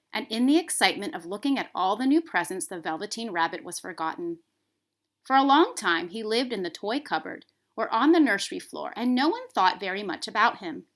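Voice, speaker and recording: A woman school librarian reading aloud for young children, with expressive, careful phrasing. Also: medium pitch. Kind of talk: children's storybook read-aloud.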